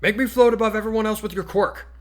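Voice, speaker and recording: A man's voice: high voice, fast talker, voice pushed up, lightly acted. A man voicing an earnest, analytical teenage schoolboy with a formal, emphatic way of talking in a story reading. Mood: urgent. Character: earnest, analytical teenage boy class-rep hopeful.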